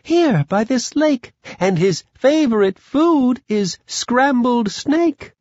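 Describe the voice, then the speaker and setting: A man's voice: extremely high pitch, expressive, slow pace, a little mellow, no room sound, muffled recording, pitched above the performer's own voice, exaggerated voice. A man voicing a small, clever, boastful mouse in a singsong storybook delivery. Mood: cheerful, sly. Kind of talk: children's storybook character reading.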